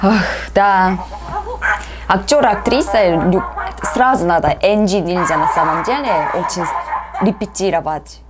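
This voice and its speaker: A woman with a Korean accent, a native Korean speaker, giving casual reaction commentary in short exclamations and simple halting phrases. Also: lowish voice, very noisy. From South Korea. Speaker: reaction video host. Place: small room indoors. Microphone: lapel mic. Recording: music playing loudly behind the voice. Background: loud music from the cartoon being watched.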